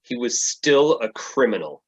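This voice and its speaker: A man playing a self-righteous captive superhero in a staged play reading, with a husky voice. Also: medium pitch, big pitch swings, a little slow. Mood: cold, dismissive. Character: captive self-righteous male superhero, pleading for his life.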